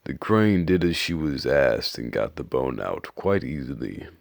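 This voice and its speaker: A man playing an old man narrator, reading storybook fables slowly and deliberately in a raspy voice. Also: very deep voice, big pitch swings, dry recording, studio quality, full character voice. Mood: solemn, unhurried.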